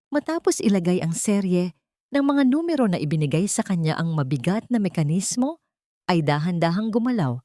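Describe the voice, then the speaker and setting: A Filipino woman tells a dramatic story in a lowish voice. Her phrasing is measured and solemn, like scripted narration. The recording is close and dry, with no room sound. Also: a little mellow, no echo.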